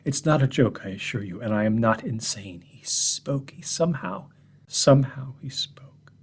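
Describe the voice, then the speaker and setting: Male reader as unravelling, bitter storyteller; husky, mid-pitched, swings between loud and whispered. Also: expressive, moderate pace. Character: shaken man addressing a room of gentlemen. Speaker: narrator. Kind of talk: first-person horror story reading.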